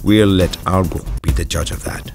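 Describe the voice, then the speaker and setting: A man's voice: deep voice, steady tone, normal speed, music in the background. A man playing a menacing, contemptuous henchman who interrogates and accuses in a video game. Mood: cold contempt. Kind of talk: dramatic game cutscene acting.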